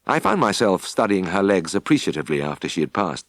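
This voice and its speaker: A British man narrating a first-person story for an audiobook with expressive, scripted delivery. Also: deep voice, quick pace, no room sound.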